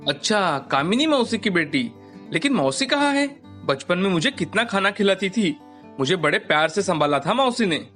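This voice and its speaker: A man's voice: high-pitched, very animated, moderate pace, a bit bright. A man voicing an earnest, stubborn young man, a pampered lovestruck son in a cartoon story. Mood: curious. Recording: music bed behind the voice.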